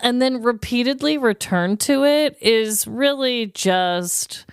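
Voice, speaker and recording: A woman's voice: on the high side, slow pace, smooth, no room sound, clean studio sound. An American woman co-hosting a podcast in casual banter, with an emphatic, playfully exasperated way of talking. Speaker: podcast co-host.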